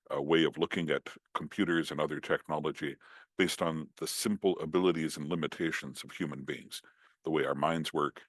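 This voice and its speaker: A man with a Scottish accent giving a relaxed, chatty online talk over a computer microphone, joking at his own expense. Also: a bass voice, dry recording, studio quality. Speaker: guest speaker. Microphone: computer mic on a video call.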